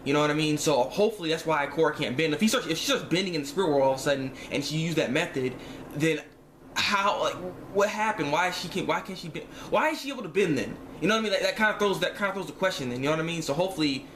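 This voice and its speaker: A man's voice: on the high side, lively intonation, rapid-fire, a bit bright, a little hiss or hum. A man giving a casual, slangy episode review to viewers, often repeating himself and acting out his reactions. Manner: repetitive, slangy, acts out remarks in reaction. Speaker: reviewer of an animated series episode. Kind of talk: casual spoken review, informal chat to viewers.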